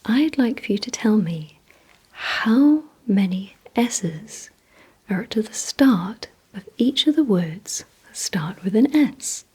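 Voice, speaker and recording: A woman whispering in an ASMR roleplay, giving gentle instructions in a breathy, mostly voiceless hush with deliberate pauses. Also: medium pitch, animated, low-quality recording. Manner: slow, deliberate, hushed delivery with short pauses. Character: calm, softly commanding female vampire examiner. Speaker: ASMR performer.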